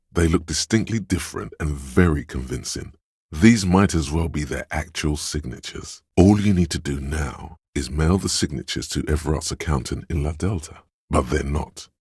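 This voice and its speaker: A man voicing a wry, erudite inner voice that narrates a detective's thoughts in a video game, deliberate and literary, with a gravelly husky tone. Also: extremely low pitch, darkish tone, dry recording, clean studio sound, full character voice. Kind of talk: scripted second-person narration for a role-playing video game.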